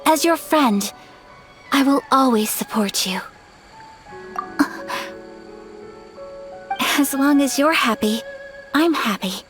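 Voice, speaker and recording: A woman playing a gentle, devoted young noblewoman in a video game, speaking softly and earnestly with refined tenderness. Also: high-pitched, animated, measured pace, over music. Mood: tender, earnest.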